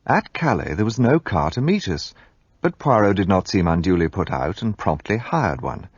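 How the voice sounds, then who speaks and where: A man playing an English gentleman who calmly narrates a radio drama. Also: fairly low pitch, normal speed, breathy edge, dry recording. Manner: calm storytelling narration. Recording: dull dry studio audio play.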